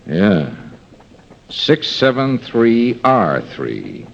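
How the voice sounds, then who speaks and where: An actor playing a wisecracking, smooth-talking private investigator in a 1950s-style radio detective drama. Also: male voice, medium pitch, wildly swooping pitch, extremely slow, exaggerated voice. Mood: breezy, wry. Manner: smooth-talking, casual, teasing. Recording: dull, noisy old-time radio recording.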